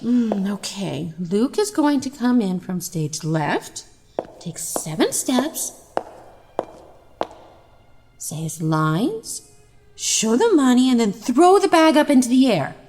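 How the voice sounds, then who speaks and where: A woman's voice: on the high side, big pitch swings, takes it slowly, pauses often, noisy background, heavily acted. A woman playing an encouraging, focused drama director in audio drama dialogue. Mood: absorbed, muttering.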